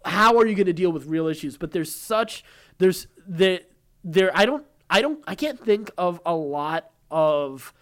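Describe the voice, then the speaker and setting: A man's voice: on the high side, smooth. A man co-hosting a podcast, talking casually in an earnest, effusive and rambling way. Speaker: podcast co-host. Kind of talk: casual pop-culture discussion.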